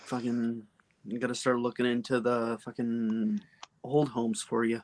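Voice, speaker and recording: A man in his mid twenties chats casually on a podcast, easygoing and quick to agree. His voice sits at an average pitch for a man. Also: flat delivery, a little mellow. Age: 20s. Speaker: podcast co-host. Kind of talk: casual chat.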